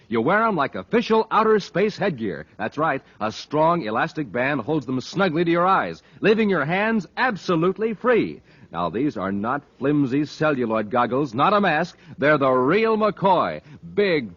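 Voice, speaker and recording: Animated, husky American radio announcer man. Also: on the high side. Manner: emphatic, dramatic, showmanlike. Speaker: announcer, narrator. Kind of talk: 1950s radio announcing, scripted pitch and narration. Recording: dull, lo-fi vintage radio recording.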